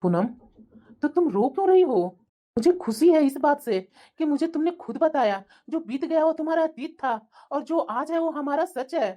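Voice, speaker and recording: A woman plays a young husband in a domestic melodrama. The voice is high-pitched for the part. He speaks earnestly, reassuring his wife and standing firm. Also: expressive, normal speed, mellow. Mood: concerned, reassuring. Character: supportive, resolute young husband. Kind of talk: melodramatic family story dialogue.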